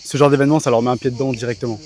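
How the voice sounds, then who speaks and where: A man's voice: medium pitch, steady tone, racing, darkish tone. A young man conducting a casual interview with informal, slangy phrasing.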